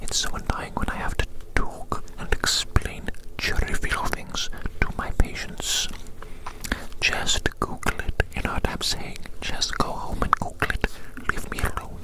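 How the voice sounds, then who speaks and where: Female ASMR performer, fully whispered roleplay as a precise, quirky scientist-doctor, repetitive murmuring delivery. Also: low-quality recording. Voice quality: breathy unvoiced whisper. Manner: slow, murmured, repeats phrases. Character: fussy, eccentric scientist-doctor measuring a little patient.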